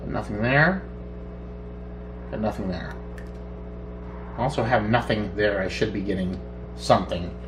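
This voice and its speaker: A middle-aged man casually demonstrating electronics at a workbench, thinking aloud in an unhurried way as he works. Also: fairly low pitch. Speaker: electronics repair hobbyist, host. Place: small indoor home workshop at a bench. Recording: roomy, distant mic with background noise.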